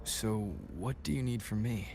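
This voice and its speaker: A serious young male game hero, measured and earnest in a lowish voice. His words carry a long hall reverb, with music underneath. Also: creaky. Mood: earnest, guarded. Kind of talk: video game voice acting.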